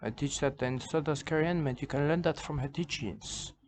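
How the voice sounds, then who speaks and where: A man's voice: on the high side, a little fast, a little hiss or hum. A man giving rambling comedic commentary on a video, circling back on the same phrases in broken grammar.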